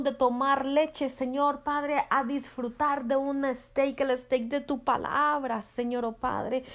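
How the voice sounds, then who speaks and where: A woman leading a fervent spoken prayer with rhythmic repeated invocations, at times dropping to a soft near-whisper. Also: high-pitched. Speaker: prayer leader.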